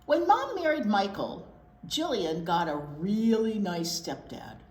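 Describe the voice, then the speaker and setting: A woman reading a picture book aloud to young children in an expressive storytime style, pausing to wonder aloud. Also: mid-range voice. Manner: animated, pauses to wonder aloud and question young listeners. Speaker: children's storytime reader at a library. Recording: roomy echo with clear background noise.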